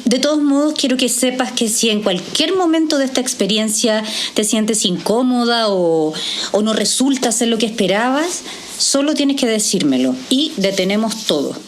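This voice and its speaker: An actress playing a skeptical, resolute woman doctor in an audio drama, speaking in a measured, probing way. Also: fairly high pitch, expressive, slight background noise, natural voice. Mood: gentle, concerned.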